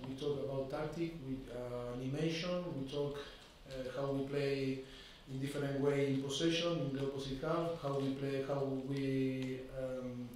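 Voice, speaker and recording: Halting football manager, echoey room. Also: male voice, medium pitch, a little mellow, a little hiss or hum. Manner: measured, halting second-language English with many fillers. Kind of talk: post-match press conference. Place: press conference room. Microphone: desk microphone. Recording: echoey room, mic at a distance.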